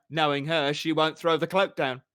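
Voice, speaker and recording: An audiobook reader voicing a vain, flamboyant male poet who talks in a raised, theatrical, excitable way. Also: medium pitch, unhurried, clean tone, natural voice.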